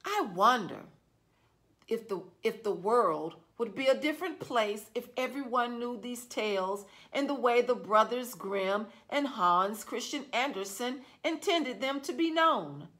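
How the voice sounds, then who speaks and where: A woman's voice: on the high side, expressive, unhurried, a bit bright. A woman voicing a stern, judgmental sixth-grade teacher who lectures her class earnestly. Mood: musing lecturing.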